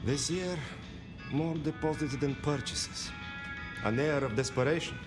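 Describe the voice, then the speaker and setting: A man's voice: fairly high pitch, a little slow, lightly acted. A man voicing a weary, friendly pawnbroker in a video game, speaking in theatrical, wistful phrases. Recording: music behind the voice.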